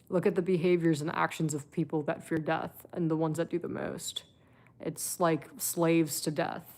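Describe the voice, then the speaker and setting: Twenties woman streaming live, blunt and rambly, kinda low voice. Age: 20s. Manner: blunt and chatty, with frequent fillers and swearing. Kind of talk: casual live-stream monologue.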